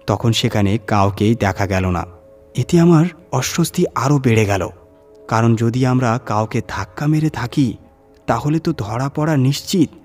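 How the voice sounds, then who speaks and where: A man's voice: medium pitch, darkish tone. A man reading a scripted first-person horror story as its narrator. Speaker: story reader. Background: background music.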